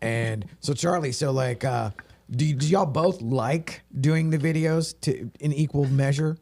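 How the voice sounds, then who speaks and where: Gravelly, husky-voiced jokey man. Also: fairly high pitch, unhurried, darkish tone. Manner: jokey, self-deprecating, fond of catchphrases. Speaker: co-host. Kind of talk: casual interview talk.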